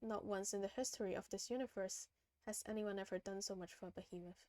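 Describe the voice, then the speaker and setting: A woman voicing a grave, formal ancient behemoth from a video game, speaking in a solemn, measured manner. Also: mid-range voice, fairly even intonation, warm tone, no room sound, pitched below the performer's own voice, close to an everyday voice. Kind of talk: reading video game dialogue aloud.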